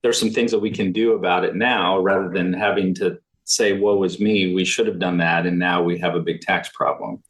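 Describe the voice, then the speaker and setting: A man hosting a financial podcast, conversational and friendly with quick affirmations. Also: deep voice, steady tone, fairly bright tone, poor audio. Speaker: podcast host. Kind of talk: podcast interview.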